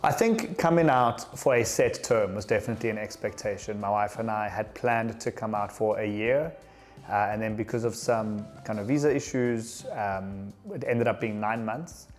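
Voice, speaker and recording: Low-voiced reflective man. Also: soft background music. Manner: measured, reflective, with filler ums.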